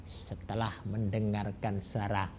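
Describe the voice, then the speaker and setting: A man telling a folk tale to children as a grandfather, slowly and with many pauses and fillers. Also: on the low side, flat delivery, darkish tone, slight background noise. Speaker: storyteller as a grandfather. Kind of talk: oral storytelling to children.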